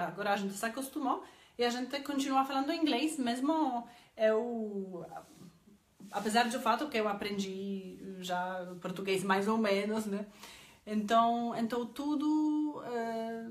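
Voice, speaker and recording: A woman's voice: mid-range voice, echoey room. A woman in her thirties from Poland, speaking with a Polish accent and teaching languages, talking in a casual vlog with many hesitant "eh" fillers and repeated words, filmed on a phone in a small indoor room.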